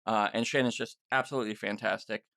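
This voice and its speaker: A man with a creaky, gravelly voice talks as a podcast host in a close, dry recording. His delivery is casual and conversational, halting and restarting as he finds his words. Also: lowish voice, fairly even intonation, fairly bright tone, no echo. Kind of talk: casual interview chat.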